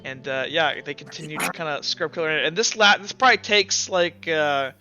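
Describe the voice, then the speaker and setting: A man running a tabletop roleplaying game as game master, improvising narration in a casual, halting, sweary way. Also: fairly high pitch, sharp tone, muffled recording, soft background music.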